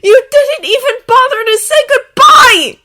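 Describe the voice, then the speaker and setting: Lady narrator doing a furious heartbroken young guy, really high tearful yelling, storybook drama. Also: expressive, unhurried, full character voice. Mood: anguished fury. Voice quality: shrill, tearful shouting. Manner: emotional, forceful outbursts. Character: anguished, furious young man, betrayed and tearful. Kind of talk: dramatic audiobook character acting.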